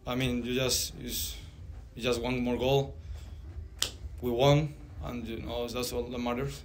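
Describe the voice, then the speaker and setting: Young male athlete at a press table mic, quiet and modest, faint hiss behind. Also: mid-range voice, little pitch movement, unhurried. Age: young adult. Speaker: college soccer player. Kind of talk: post-game press conference answers. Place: press conference room. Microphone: table mic on a stand.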